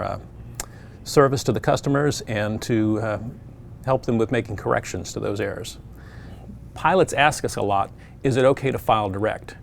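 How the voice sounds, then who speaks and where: A man's voice: medium pitch, slight background noise. Veteran controller man, plain practical advice. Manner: plain, practical, frequent fillers. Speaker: air traffic controller. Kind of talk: explaining procedures to pilots.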